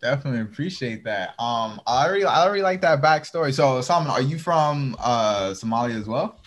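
A man's voice: mid-range voice, lively intonation, a little fast. A man hosting a casual podcast interview, talking in an upbeat, slangy way with plenty of fillers and restarts. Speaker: podcast host.

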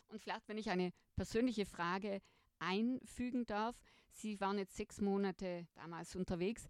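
A woman's voice: mid-range voice, a little mellow, no echo. A woman hosting a podcast, asking formal and polite interview questions.

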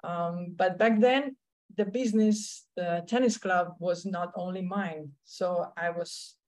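A woman's voice: lowish voice, steady tone, slow pace, a little mellow. A woman with a Slovenian accent, a tennis coach telling her story in an interview, with many fillers and restarts. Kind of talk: conversational interview answers.

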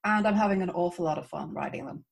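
A woman from Ireland with an Irish accent, an author chatting warmly about her writing as an interview guest. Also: on the low side, fast, vocal fry. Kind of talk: casual interview chat.

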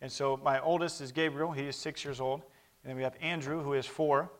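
A man's voice: medium pitch, fairly even intonation, fairly quick. A man in his thirties who grew up in Brazil, speaking Portuguese first, giving a conversational missionary report to a church and calling for amens. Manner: conversational, with calls for amen.

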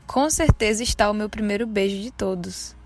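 Young Bahian woman with a gravelly voice. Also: medium pitch, moderate pace, darkish tone, faint music behind. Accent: Bahian Brazilian Portuguese. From Salvador, Bahia, Brazil. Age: 20s. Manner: casual, conversational, self-deprecating. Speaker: podcast host. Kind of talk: personal storytelling podcast.

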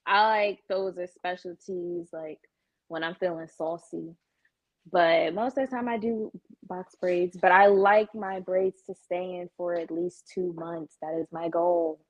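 A woman's voice: lowish voice, fairly even intonation, measured pace, highs cut off. A woman with an American accent in casual conversation, talking in a frank, sassy, slangy way. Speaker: salon client, guest. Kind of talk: casual chat.

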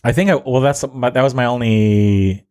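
Easygoing nasal podcast guest. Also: male voice, medium pitch, moderate pace, warm tone. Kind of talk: casual chat. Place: room above a brewery.

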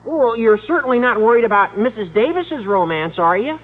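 High cracking teenage boy. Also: expressive, fairly quick. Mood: gossipy, eager. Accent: American. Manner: gushing, exaggeratedly earnest. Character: squeaky, earnest, overeager teenage high school boy. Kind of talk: old-time radio situation comedy acting. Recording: tinny vintage radio recording, noise, studio audience.

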